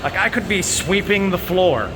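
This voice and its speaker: An American man talking casually to viewers, rambling and halting, candid and sweary, into a handheld phone. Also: high voice, animated. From United States. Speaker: vlogger. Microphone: handheld phone at arm's length. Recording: noisy outdoor recording with vehicle sounds.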